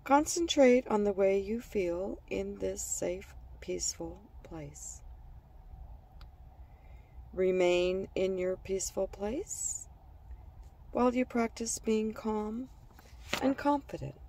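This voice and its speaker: A woman narrating a scripted guided relaxation for children in a gentle, soothing manner, leaving pauses between phrases. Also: mid-range voice, recorded from a distance.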